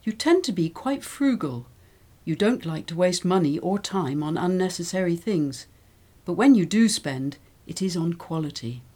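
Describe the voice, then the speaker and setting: Mellow-voiced woman reading lesson texts. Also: fairly low pitch, animated, moderate pace. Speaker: reader of English learning texts. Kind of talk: scripted reading of model texts.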